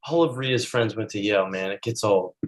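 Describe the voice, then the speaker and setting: A man's voice: fairly low pitch, brisk, natural voice. A man playing a blunt, aggrieved buddy in his twenties in a comedy script table read.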